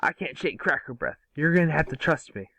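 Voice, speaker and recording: A man's voice: a little high, expressive, brisk, vocal fry, bright, forceful tone, no echo, heavily acted. A man playing a cocky, wisecracking boy hero in a cartoon table read, brash and teasing.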